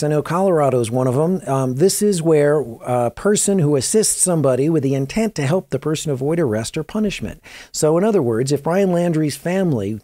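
A middle-aged male attorney talks through legal questions in a warm, mellow voice at an ordinary pitch. He explains clearly and casually, often turning to ask the audience for their views. The sound is close and dry, recorded in an office. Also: dry recording. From Southeast Virginia. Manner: explanatory, asks viewers questions, conversational. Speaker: attorney and crime news host. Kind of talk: legal commentary to camera. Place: law office with desk lamp and bookshelves.

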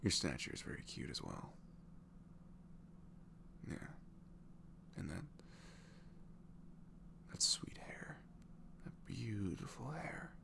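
A man playing a sweet, earnest single dad in an intimate ASMR roleplay, soft and hesitant, often dropping to a whisper. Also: fairly low pitch, sing-song, takes it slowly, gravelly, pauses often, heavily acted. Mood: shy, flirtatious. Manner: hesitant, gentle, murmured.

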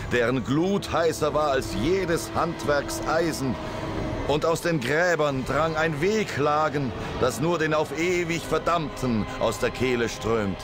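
A grim warrior of a dark fantasy game, acted by a man. He narrates in a solemn, unhurried voice of middling pitch, with clear music underneath. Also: lightly acted. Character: grim male crusader recounting his journey through hell.

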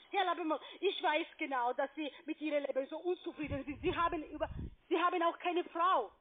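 Tinny phone call, high voiced woman w/ foreign accent, pushy call center agent arguing in halting german. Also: a little hiss or hum. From Saudi Arabia. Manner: insistent, pushy, halting non-native German. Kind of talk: argumentative phone conversation. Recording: tinny, narrow phone line.

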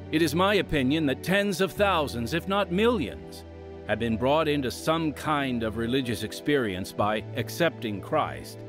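A man announcing a radio program, reading scripted narration with an earnest, deliberate delivery. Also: mid-range voice. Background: music.

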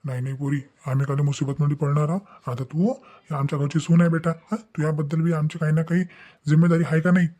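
A man's voice: on the high side, flat delivery, fast, mellow, natural voice. A man playing an assertive older father-in-law with a Varhadi Marathi accent in a cartoon family drama, insistent and repeating his reassurances. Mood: reassuring, determined. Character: assertive older father-in-law determined to help the young couple.

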